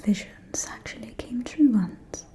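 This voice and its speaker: A catty vampire aristocrat played by a woman whispers gossip in a soft, mellow voice. She takes her time, drawling confidingly and archly, as in an intimate ASMR roleplay. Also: a little high, lively intonation, gravelly. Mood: wry, dismissive. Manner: slow, confiding, arch, drawling.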